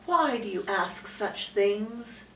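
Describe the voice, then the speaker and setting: A woman's voice: medium pitch, lively intonation, slow, sharp tone, echoey room, distant mic, slight background noise, full character voice, poor audio. An elderly woman voicing a sly male crocodile who coaxes his prey in a storybook reading. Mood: sly coaxing.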